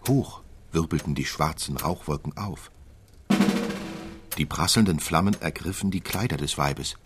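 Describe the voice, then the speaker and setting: A man narrating a literary tale in an expressive, deliberate, old-fashioned storytelling manner. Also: very deep voice, over loud music. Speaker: audiobook narrator.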